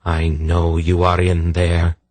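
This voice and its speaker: A man's voice: low-pitched, completely flat delivery, slow pace, a little mellow, no echo. A man voicing a stern sorceress in a fantasy audiobook, cold, imperious and sure of her power. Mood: stern, commanding.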